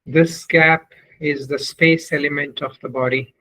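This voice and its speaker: A man leading a guided meditation as a teacher, speaking in measured, deliberate phrases with long pauses between them. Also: on the high side, fairly even intonation, fairly bright tone, a little hiss or hum.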